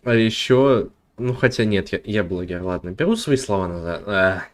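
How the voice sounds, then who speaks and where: A man's voice: fairly low pitch, animated, moderate pace. A man giving casual gameplay stream commentary, with offhand, wry and ironic asides. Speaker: streamer and YouTuber.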